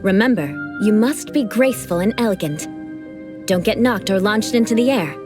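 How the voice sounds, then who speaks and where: A woman's voice: medium pitch, moderate pace, music in the background, natural voice. A woman playing a haughty aristocratic knight. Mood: cool. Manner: formal, haughty, dryly teasing. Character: proud aristocratic young woman knight, cool and teasing. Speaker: mentor in a game. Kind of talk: game cutscene voice acting.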